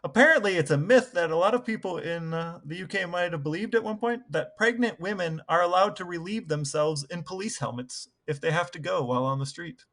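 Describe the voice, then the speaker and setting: A man with a somewhat high voice co-hosts a podcast. His talk is casual, humorous conversation between two hosts. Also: fairly quick, few pauses, fairly bright tone. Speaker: podcast co-host.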